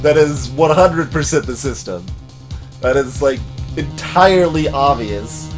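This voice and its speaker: A man's voice: mid-range voice, expressive, measured pace. A man hosting a let's play, giving casual commentary on the game. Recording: music playing behind the voice.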